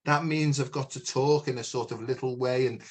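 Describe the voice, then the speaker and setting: A man hosting a spiritual chat show with a loud, booming voice, talking frankly and emphatically. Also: fairly even intonation, a little fast, breathy edge. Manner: emphatic, frank, says "you know" often. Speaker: podcast host. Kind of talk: casual spiritual conversation.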